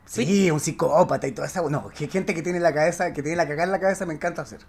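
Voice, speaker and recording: A man with a Chilean accent chatting casually as a guest, slangy and candid, often halting as he reflects. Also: fairly high pitch, gravelly, darkish tone. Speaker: actor, podcast guest.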